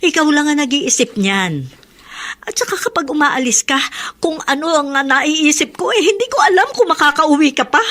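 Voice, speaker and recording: A woman's voice: high voice, animated, normal speed, a bit bright. An actress playing an anguished, careworn middle-aged mother, pleading and emotional in radio drama style. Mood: weary reproach. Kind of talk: Filipino radio drama acting.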